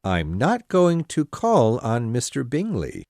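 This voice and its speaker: A woman voicing a calm, dryly teasing older gentleman and father, speaking in an unhurried, mildly mocking way. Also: rumbling low, dry recording, clean studio sound, pitched below the performer's own voice, heavily acted. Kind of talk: audiobook character voice.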